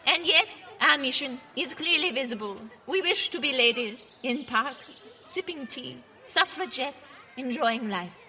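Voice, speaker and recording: A woman's voice: high-pitched, lively intonation, unhurried, hardly any pauses, pushed and bright, full character voice. A woman playing a prim, genteel suffragette declaiming a formal public statement in a comic period audio drama. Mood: prim, earnest.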